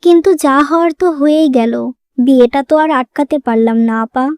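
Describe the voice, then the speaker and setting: Woman acting a high-voiced, emotional young cartoon wife. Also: a little fast, darkish tone, no echo, studio quality, close to an everyday voice. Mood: heartbroken. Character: emotional young modern wife, pleading and heartbroken. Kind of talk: Bengali moral-story cartoon dubbing.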